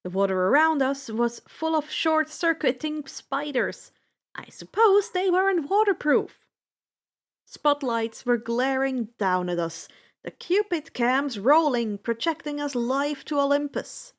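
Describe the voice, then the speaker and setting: A woman with a high voice narrates adventure fiction. She takes her time, reading slowly and deliberately with expressive, dramatic delivery. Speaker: audiobook-style fan fiction reader. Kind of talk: dramatic first-person story narration.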